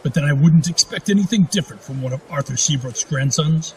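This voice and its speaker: Guy doing a noble old-school duke, kinda higher voice, formal and kind, fantasy audio drama, some background ambience. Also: a little hiss or hum, lightly acted. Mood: gracious. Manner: measured, gracious, formal. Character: magnanimous noble duke, dignified veteran lord. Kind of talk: fantasy radio drama acting.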